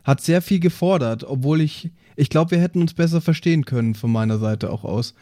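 A man with a dark, relaxed voice chats casually. He phrases things haltingly and in slang, and the sound is close and dry. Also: on the high side, dry recording. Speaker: player on a role-play podcast. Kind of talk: casual chat.

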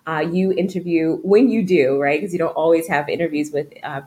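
A woman, a guest on a podcast, speaking graciously and warmly with her husband beside her. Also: low voice, brisk, slight background noise. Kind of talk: conversational interview.

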